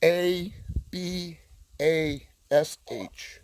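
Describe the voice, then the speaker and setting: A man's voice: a little high, steady tone, drawn-out words. A man making a casual prank phone call, speaking with feigned earnestness as a clueless customer. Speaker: prank caller.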